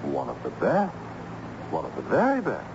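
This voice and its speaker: An actor playing a smooth, nosy investigator posing as an official in an old-time radio drama, polite and glib. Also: male voice, mid-range voice, wildly swooping pitch, normal speed. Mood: polite, probing. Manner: polite, glib, ingratiating, questioning. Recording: muffled, noisy old radio broadcast.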